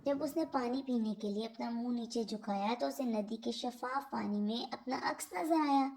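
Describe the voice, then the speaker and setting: A woman narrating scripted moral stories for children in a deliberate storybook manner. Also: on the high side, darkish tone.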